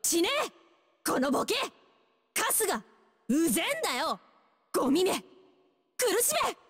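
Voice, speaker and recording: Coarse, sneering high-voiced tough girl. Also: sing-song, moderate pace, big-room sound. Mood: aggressive, scornful. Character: rude, foul-mouthed tough young fighting girl. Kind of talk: Japanese fighting game taunts.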